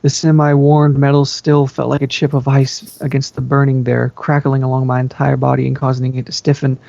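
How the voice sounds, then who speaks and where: A man's voice: medium pitch, completely flat delivery, a little fast, creaky, a little mellow. A man reading a story aloud as an amateur dramatic narrator. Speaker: fan fiction reader. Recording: dull, roomy amateur recording.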